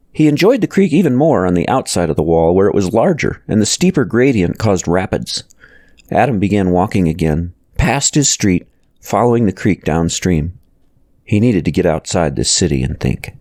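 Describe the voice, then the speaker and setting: A man's voice: low voice, a little mellow, no room sound, studio quality. A man reading a novel aloud as a scripted audiobook narrator.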